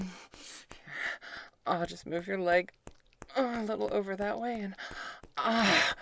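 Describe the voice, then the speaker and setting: Female performer as a commanding, teasing adult heroine interrogator; breathy, unhurried and husky-low. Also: fairly bright tone, exaggerated voice. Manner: breathy whispering, moaning, slow and sultry. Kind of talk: erotic audio roleplay.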